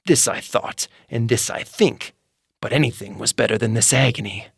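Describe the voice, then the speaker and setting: A man narrating a classic horror tale, moving between calm menace and frantic intensity. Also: medium pitch, normal speed, creaky, husky, no echo, studio quality.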